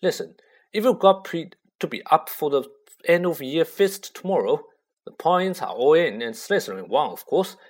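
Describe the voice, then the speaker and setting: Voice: medium pitch, animated, moderate pace, pitched above the performer's own voice, natural voice. A storybook reader voicing a proud, chatty schoolboy with a breezy manner.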